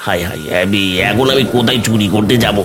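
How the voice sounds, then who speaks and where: A man's voice: fairly low pitch, fast talker, crowd noise, lightly acted. A man voicing a lazy, henpecked, hard-up village husband with a whining, reluctant manner in a cartoon. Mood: dismayed. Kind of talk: cartoon fairy tale acting.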